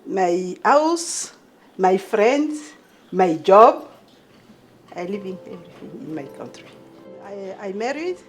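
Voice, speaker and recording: A middle-aged woman from Ivory Coast with an Ivorian French accent, telling her own story in halting, limited English as a native French speaker. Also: medium pitch, sing-song, soft background music. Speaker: documentary interviewee, newcomer immigrant. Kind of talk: personal account in an interview.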